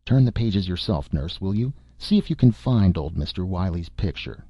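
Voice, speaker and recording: A man's voice: sits low, expressive, normal speed, husky, dry recording, lo-fi sound, full character voice. A man voicing a distressed, demanding husband and father in an audiobook story, grave and agitated. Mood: shaken, demanding.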